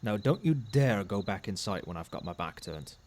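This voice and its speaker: A man playing a tipsy, friendly professor in a casual ASMR roleplay, speaking softly and muttering as if to a colleague. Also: fairly low pitch, animated, moderate pace, a little hiss or hum, lightly acted. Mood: caring, insistent. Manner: soft-spoken, muttering. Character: tipsy, friendly, conscientious male magic-university professor working late.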